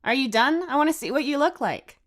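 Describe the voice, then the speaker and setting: Brief: female narrator as bratty, sarcastic teen girl, high and snappy, quick to take offence. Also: expressive. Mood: brisk, curious. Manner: snappy, mocking. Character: feisty, sarcastic, easily irritated teenage girl.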